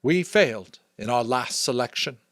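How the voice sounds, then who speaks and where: A man voicing a solemn, commanding female elder in a firm, deliberate, declamatory way for an audiobook. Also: mid-range voice, expressive, fairly bright tone, dry recording, studio quality, lightly acted. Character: solemn commanding female chief elder addressing her community. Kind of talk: dramatic audiobook character voice.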